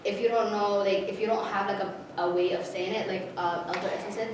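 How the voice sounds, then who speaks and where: A woman's voice: mid-range voice, steady tone, a little fast. A woman from southern Somalia speaking in a group discussion, emphatic and often checking with right?. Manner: emphatic, keeps asking right?. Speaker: workshop participant. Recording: echoey room, from far off, noisy.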